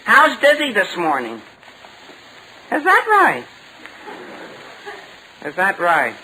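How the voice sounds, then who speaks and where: An eager teenage son in a vintage radio comedy. His voice is high and bright, swinging up and down as he earnestly protests. The old recording is faintly noisy. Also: wildly swooping pitch, slow pace, full character voice. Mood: eager, helpful. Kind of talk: 1940s radio comedy acting.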